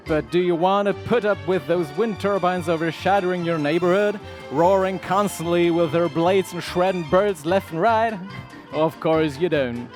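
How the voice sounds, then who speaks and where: A man narrating as a pompous, melodramatic announcer in 1930s radio style. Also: sits high.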